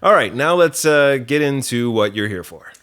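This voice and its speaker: Man hosting a podcast, mid-pitched voice. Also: lively intonation, normal speed, a bit bright. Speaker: podcast host and producer. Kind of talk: podcast introduction, upbeat presenting. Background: music.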